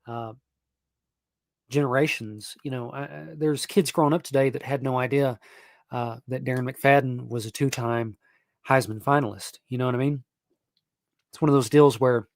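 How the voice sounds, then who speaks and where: A man's voice: mid-range voice, dry recording, studio quality. A young man, hosting a casual sports live stream and rambling with fillers and restarts. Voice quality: nasal.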